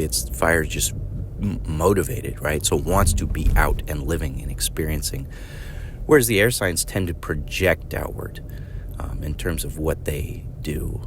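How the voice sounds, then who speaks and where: Deep-voiced man, a little sick. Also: a little hiss or hum. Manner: halting, thinks aloud with many ums and "right?" tags. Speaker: podcast host. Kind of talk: solo explanatory podcast talk.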